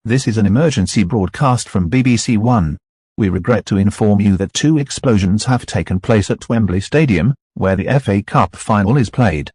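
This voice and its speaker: A man reading formal, scripted broadcast announcements in a steady tone. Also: on the low side, normal speed, husky, dry recording.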